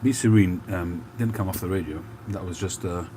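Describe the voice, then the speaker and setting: A man with a Cockney-tinged London accent, chatting slowly and calmly on a livestream with many pauses and fillers. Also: sits low, heavy background noise. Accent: London, Cockney with a North London mix. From England. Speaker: livestream host. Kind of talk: relaxed live chat.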